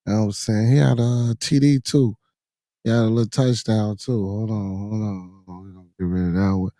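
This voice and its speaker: Hoarse, low-voiced man chatting casually. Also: steady tone, brisk, mellow, no echo, clean studio sound. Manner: loose slangy talk, muttering to himself while fumbling with screen sharing. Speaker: podcast host. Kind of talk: casual live-stream chat.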